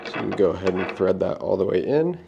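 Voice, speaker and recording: A man's voice: low voice, expressive, quick pace, creaky, muffled recording, heavy background noise. A man hosting an unboxing, giving casual, plain-spoken step-by-step setup instructions. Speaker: product unboxing host.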